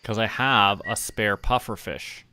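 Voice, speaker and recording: A man giving relaxed game stream commentary, casually thinking aloud and talking to himself and the characters. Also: lowish voice, a little slow. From Canada.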